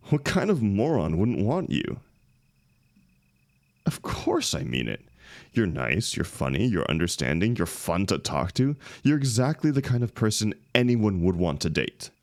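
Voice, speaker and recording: Very deep, gravelly young heartbreaker, acted by a man. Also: big pitch swings, a little mellow, no room sound, clean studio sound. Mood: indignant, insistent. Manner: casual, halting, emotional, swinging emphasis. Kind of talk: intimate one-sided audio roleplay.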